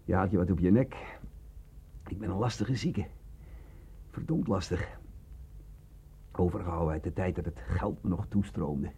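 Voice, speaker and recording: An actor in a radio play voicing a cynical, boastful, sickly bandit with a hoarse, drawling, mocking delivery. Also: male voice, low voice, normal speed, long pauses, mellow, full character voice. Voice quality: hoarse, gravelly.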